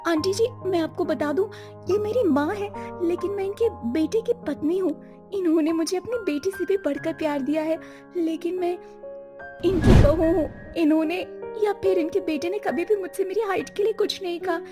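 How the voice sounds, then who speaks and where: Woman voicing a very high-pitched earnest young daughter-in-law. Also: moderate pace. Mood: hurt, firm. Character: young short-statured daughter-in-law, wounded but dignified. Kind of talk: animated moral-story dialogue. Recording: music behind the voice.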